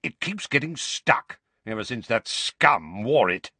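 A man voicing a gruff, suspicious old veteran wizard in a storybook, with a growling voice and an irritable temper. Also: medium pitch, expressive, moderate pace, bright, forceful tone, no echo. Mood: angry growl.

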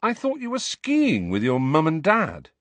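A man voicing a brisk, bossy, clever teenage schoolgirl in an audiobook, airy and impatient in manner. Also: a little high, wildly swooping pitch, dry recording, pitched above the performer's own voice. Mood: brisk, bright.

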